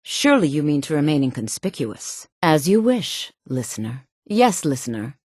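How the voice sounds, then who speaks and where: A woman's voice: sits low, sing-song, normal speed, a little mellow, no room sound, studio quality. An actress voicing a sultry, devoted female assassin in a fantasy video game, speaking with deferential intensity. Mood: devoted, submissive.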